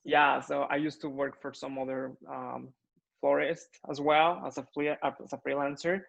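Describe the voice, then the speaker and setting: A young man from Mexico with a Mexican accent, speaking English as a second language in an earnest interview chat, often pausing with fillers to find his words. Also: medium pitch, level tone, vocal fry, a bit bright, dull audio. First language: Spanish. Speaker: floral designer guest.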